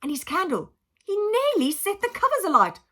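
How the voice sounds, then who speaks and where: A woman reading a children's book aloud in an expressive, dramatic, emphatic style, like a teacher telling a story to a class. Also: high voice, brisk. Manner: dramatic, emphatic, acts out sound effects. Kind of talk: expressive children's audiobook narration.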